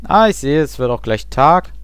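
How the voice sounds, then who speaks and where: A man's voice: fairly high pitch, big pitch swings, normal speed, clean tone, no echo. A young man chatting casually and rambling with self-mocking asides while he plays a game. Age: young adult. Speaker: let's play host. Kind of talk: casual gameplay commentary.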